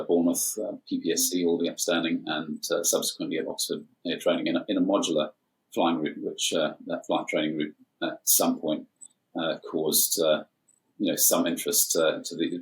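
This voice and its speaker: A man's voice: very deep voice, little pitch movement, brisk, rough recording. A man in his forties from Zimbabwe, an airline captain talking as a guest in a casual chat, with many hesitant fillers.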